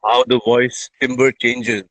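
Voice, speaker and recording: Guest, man, 40s, from India, measured delivery, mid pitch, dry. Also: level tone, fairly bright tone, no echo, poor audio. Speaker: guest singer. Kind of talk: casual interview chat.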